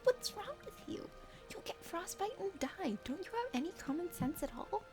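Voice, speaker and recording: A woman's voice: very high voice, big pitch swings, normal speed, over music, pitched above the performer's own voice. A woman voicing a plucky young female knight and bodyguard in a game read-aloud, blunt and sarcastic. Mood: flustered, scolding.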